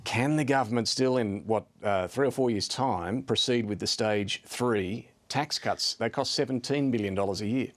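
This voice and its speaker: A man's voice: mid-range voice, normal speed, hardly any pauses. A man with an Australian accent working as a news anchor, interviewing and presenting in a live broadcast style.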